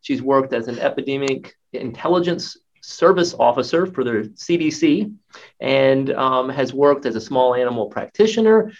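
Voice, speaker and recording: A man hosts an interview in an upbeat, enthusiastic way, asking his questions casually. His voice sits at an ordinary pitch for a man. The sound is dull and lo-fi. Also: lively intonation, normal speed. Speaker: interview host.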